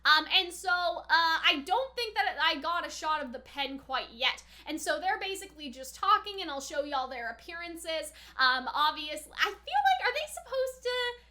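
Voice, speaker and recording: Female reaction host, high-pitched and bright, informal and speculative, talking through theories conversationally. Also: big pitch swings, moderate pace. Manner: informal, speculative, frequent "like" and "right?". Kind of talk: casual anime reaction and commentary.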